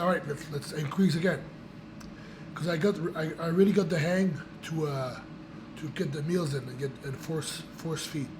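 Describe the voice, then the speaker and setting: Raspy-voiced man vlogging through a cold. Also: a little high, background noise. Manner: rambling, with restarts and fillers. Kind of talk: casual chat to camera.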